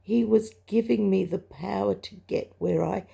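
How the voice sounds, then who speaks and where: An older woman with a croaky voice gives a personal spiritual testimony, telling it in a halting, reflective way. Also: medium pitch, warm tone. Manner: halting, reflective storytelling with pauses. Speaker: religious vlogger sharing a testimony. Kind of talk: personal spoken testimony, recounting a dream.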